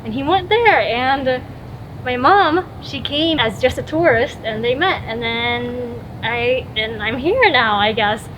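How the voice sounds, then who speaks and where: A woman's voice: a little high, expressive, slow, fairly bright tone, highs cut off, slight background noise. A young woman from Florida, a guest in a casual conversation, chatty and giggly, sometimes tripping over her words.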